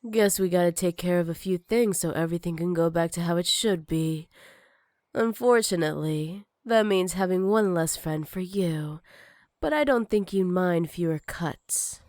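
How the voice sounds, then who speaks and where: A woman's voice: on the low side, normal speed, darkish tone, no room sound, studio quality, lightly acted. A woman playing a jealous, possessive villain with magic, teasing and menacing in a sultry ASMR roleplay. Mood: possessive, controlled.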